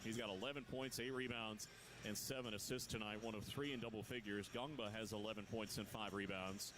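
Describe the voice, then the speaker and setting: A man calling a live college basketball game on radio, his voice climbing with each big play. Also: fairly low pitch. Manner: rapid, rising excitement on big plays. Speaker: play-by-play announcer. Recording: broadcast with loud arena noise.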